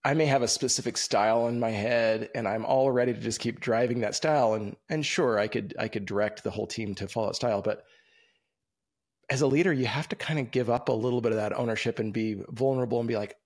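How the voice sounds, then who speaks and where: A man in his thirties hosting an interview over a video call from a room with bookshelves, speaking in a relaxed, reflective, conversational way. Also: mid-range voice, quick pace. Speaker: podcast host. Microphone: video call, webcam.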